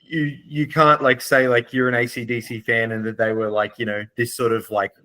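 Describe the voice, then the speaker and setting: Very bright, forceful male voice. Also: medium pitch, little pitch movement, moderate pace. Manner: sweary, informal. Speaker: podcast co-host. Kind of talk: casual chat.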